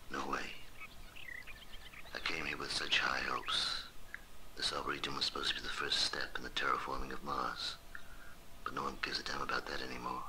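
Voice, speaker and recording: A noisy game audio log carries a very low male voice full of gravel. a weary, uneasy Mars base scientist, brooding quietly into his diary. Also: heavily acted. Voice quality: very deep, gravelly. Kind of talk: dramatic audio diary in a horror video game.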